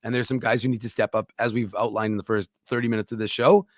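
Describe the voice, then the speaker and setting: A man's voice: fairly low pitch, flat delivery, fast talker, no room sound. Emphatic man talking hockey, dry sound. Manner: emphatic, opinionated. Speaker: co-host of hockey talk show. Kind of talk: casual sports talk.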